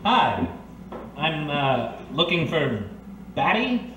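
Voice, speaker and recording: A man's voice: fairly high pitch, big pitch swings, extremely slow, a bit bright, exaggerated voice. A man playing a wry, determined hard-boiled private eye in an old-time radio detective drama. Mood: awkward, cautious. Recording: distant echoey room with faint noise.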